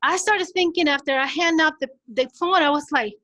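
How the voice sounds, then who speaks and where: A woman's voice: high-pitched, fairly quick. A woman with a Minnesotan accent giving a conference presentation, chatty and engaging, asking the audience rhetorical questions. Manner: chatty, acts out quotes in lively voices.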